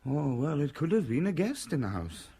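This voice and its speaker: A man's voice: on the high side, big pitch swings, moderate pace, dark tone, breathy edge, full character voice. A man playing a polite, agreeable police sergeant, junior to his inspector, in a radio drama. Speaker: actor in a radio play. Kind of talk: British radio drama dialogue.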